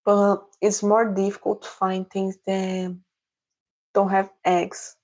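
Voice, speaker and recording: A young Brazilian woman with a Portuguese accent, answering viewers casually on a livestream in careful, halting English. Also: medium pitch, completely flat delivery, darkish tone. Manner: halting, searching for English words. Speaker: livestream host.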